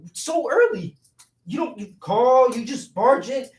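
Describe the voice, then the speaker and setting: A man's voice: very high-pitched, animated, unhurried. A man acting a flustered, evasive young womanizer with a stammering, exasperated delivery in a staged play reading. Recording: roomy echo, distant mic.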